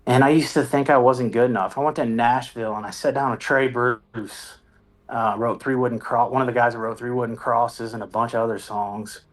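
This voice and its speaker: A man's voice: medium pitch, fairly even intonation, a little fast. A man, a military veteran and songwriter, telling his story in a candid, rambling, self-deprecating way as an interview guest.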